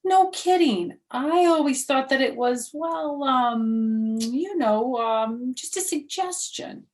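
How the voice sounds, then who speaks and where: A small, dramatic schoolgirl from a children's storybook, put on by a woman reader. She speaks high and emphatically, with childish grammar and a whiny, defensive edge. She is cheeky and very excitable. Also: slow pace. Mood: shocked, defensive.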